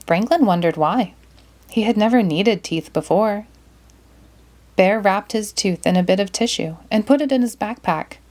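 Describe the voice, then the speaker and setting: A woman narrating a children's picture book aloud in an expressive, deliberate way. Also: medium pitch.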